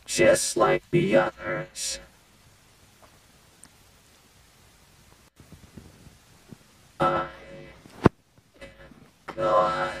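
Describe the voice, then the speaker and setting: Low gravelly animatronic rabbit, creepy, mock-friendly, murderous, horror game, loud music underneath. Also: male voice, exaggerated voice, poor audio. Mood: gloating. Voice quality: gravelly, creaky. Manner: slow, mock-friendly, taunting. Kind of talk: villain voice lines for a horror game fan video.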